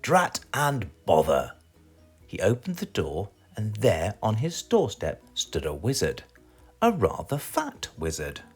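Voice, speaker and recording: Animated British male storyteller, unhurried. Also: on the low side, breathy edge, faint music behind. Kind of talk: animated children's story reading.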